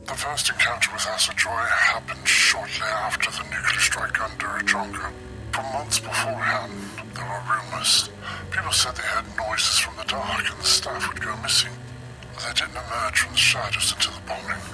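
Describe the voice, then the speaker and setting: A man playing a grave, guilt-ridden research scientist in a video game, narrating an ominous log in a measured, sometimes breathy way. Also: fairly low pitch, steady tone, over loud music, lightly acted, low-quality recording.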